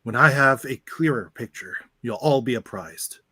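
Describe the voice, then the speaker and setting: A man's voice: mid-range voice, animated, sharp tone, breathy edge, no room sound. A man playing a composed, authoritative professor leading a team of heroes in a radio play. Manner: measured, authoritative.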